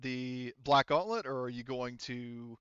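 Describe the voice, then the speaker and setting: Casual, sweary male game master with clear voice. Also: mid-range voice, a bit bright, no echo, highs cut off. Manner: casual, profane, halting. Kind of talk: improvised tabletop roleplay narration.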